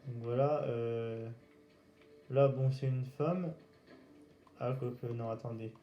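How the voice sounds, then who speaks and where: A man giving casual gaming commentary in a halting, hesitant way, searching for words. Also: mid-range voice, fairly even intonation, muffled recording, soft background music. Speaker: gaming video host. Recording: roomy echo, faint background noise.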